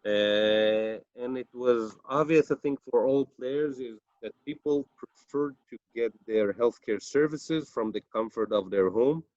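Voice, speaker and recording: A man with an Israeli accent giving a conference presentation over a video call, speaking haltingly with many fillers. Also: mid-range voice, a little mellow, no echo, lo-fi sound.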